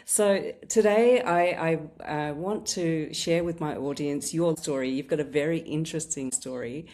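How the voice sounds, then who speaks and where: A woman's voice: sits low, a little slow, a little mellow. A woman in her fifties hosting a podcast, reading a welcoming intro and then asking interview questions in a conversational way. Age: 50s. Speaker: podcast host.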